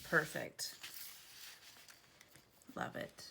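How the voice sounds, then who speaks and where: A woman's voice: extremely slow, noisy background. A woman in her thirties, chatting casually and rambling while decorating her planner at home, a little stuffy from allergies. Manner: chatty, rambling, digresses often. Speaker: planner vlogger. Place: home, indoors.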